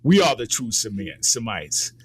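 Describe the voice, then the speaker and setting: A man's voice: mid-range voice, big pitch swings, moderate pace, breathy edge. An American man giving an opinionated political commentary, speaking emphatically and repeating phrases for effect. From United States.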